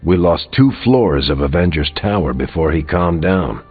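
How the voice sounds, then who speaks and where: Deep husky game superhero. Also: male voice, expressive, normal speed, phone-quality sound, soft background music. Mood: dry, amused. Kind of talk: video game character dialogue.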